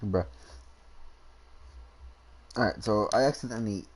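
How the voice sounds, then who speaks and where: A man's voice: on the low side, a little slow, slightly rough. A young man giving casual, rambling, slangy commentary as he plays a game. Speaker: gaming streamer.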